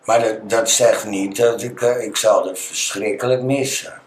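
A Dutch man talking as an interview guest, telling personal stories conversationally and halting as he searches for words. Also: lowish voice, fairly even intonation. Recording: echoey, reverberant room.

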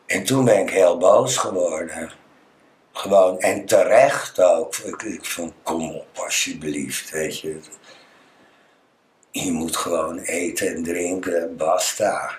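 A man talking casually and personally in a halting, plain-spoken way, in an echoey room. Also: low voice.

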